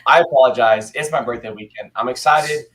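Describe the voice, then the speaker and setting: A man with a hoarse, failing voice casually hosting a show. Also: mid-range voice, fairly quick, fairly bright tone. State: hoarse, losing his voice. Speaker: show host.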